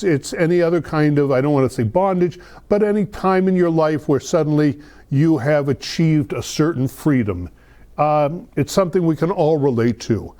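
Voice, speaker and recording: A man's voice: a little high, mellow. A man giving casual explanations as a guest, hesitantly searching for his words. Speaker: guest explaining holiday traditions.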